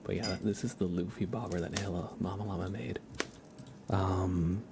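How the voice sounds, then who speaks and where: A man hosting an ASMR livestream, chatting casually and haltingly in a soft, hushed voice that drifts into whispering. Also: deep voice, vocal fry. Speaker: livestream host. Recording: steady background noise with keyboard typing.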